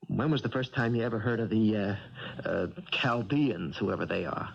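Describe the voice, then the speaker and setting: Uneasy young boxer, muffled radio. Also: male voice, on the low side, animated, moderate pace, vocal fry. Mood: scared, confiding. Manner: hesitant, stammering. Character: young male boxer, polite, uneasy, plainspoken. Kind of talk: 1970s radio drama acting. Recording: muffled old radio broadcast.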